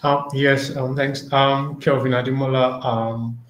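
Flat-toned man in an echoey room. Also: medium pitch, unhurried. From Nigeria. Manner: soft, hesitant, trailing off. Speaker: course trainer. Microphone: video call.

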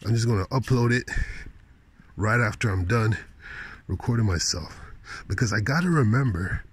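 A man's voice: fairly low pitch, lively intonation, moderate pace, breathy edge. A man rambling casually in slangy, incredulous asides, speaking into a handheld phone while walking outdoors at night. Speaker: vlogger. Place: outdoors at night on a park path.

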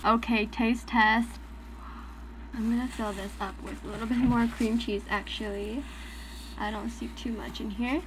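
A woman's voice: fairly high pitch, steady tone, a little slow, a little hiss or hum, poor audio. Chatty young woman filming a food video. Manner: chatty, frequent "like" fillers, some soft whispers. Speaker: mukbang creator. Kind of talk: casual commentary while cooking and eating. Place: small indoor room with dark backdrop.

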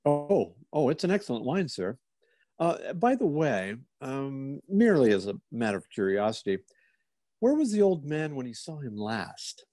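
A man's voice: medium pitch, big pitch swings, normal speed, warm tone, dry recording, natural voice. A man playing a courteous, inquisitive police officer who muses and probes politely, in old-time radio theater style. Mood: genial. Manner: polite, musing, probing.

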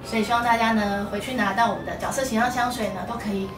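A young woman with a Taiwanese Mandarin accent, hosting a chatty promotional interview in an expressive, enthusiastic way. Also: on the high side, rapid-fire. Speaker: host. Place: small wood-panelled room indoors. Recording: roomy, distant mic, music behind.

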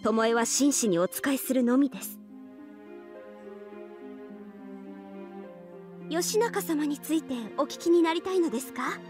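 A woman playing a devoted young half-oni samurai archer in a mobile game, speaking formal, reverent Japanese in a soft voice. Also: high voice, expressive, brisk. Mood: attentive, polite. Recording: studio voice over game music.

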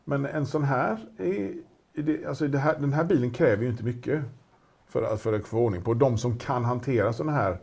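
Husky-voiced man, casual auction chat, meandering delivery. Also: medium pitch, darkish tone. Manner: chatty, rambling with restarts and fillers. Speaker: auction specialist presenting a car.

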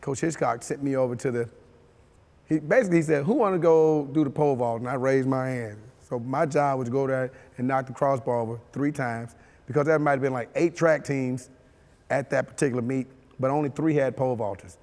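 A man's voice: mid-range voice, a little fast, big-room sound. A man, telling his life story in a sit-down interview, easygoing and chuckling, full of "you know". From Mississippi, US. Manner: relaxed, chuckling, many you-knows. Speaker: former football player. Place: studio with dark backdrop.

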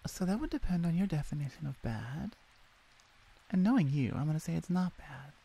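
Woman as a sly, husky orc shaman. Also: low voice, lively intonation, brisk, dark tone, exaggerated voice. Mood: smug, teasing. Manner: teasing, evasive. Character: sly, teasing orc shaman woman, smug younger-sounding sister. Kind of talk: ASMR audio roleplay.

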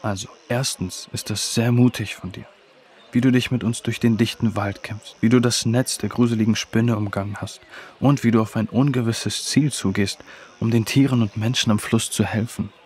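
A man playing a kind, protective young friend, speaking gently and sincerely in a children's audio drama. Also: on the low side, steady tone, moderate pace, husky. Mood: reassuring.

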